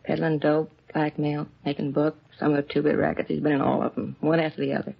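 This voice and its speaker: An actress playing a curt, jaded ex-wife of a crook in a 1950s radio crime drama. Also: female voice, sits low, little pitch movement, quick pace, gravelly, slightly rough, exaggerated voice. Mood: bitter, jaded. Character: bitter, hard-bitten ex-wife of a small-time crook. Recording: dull old radio recording.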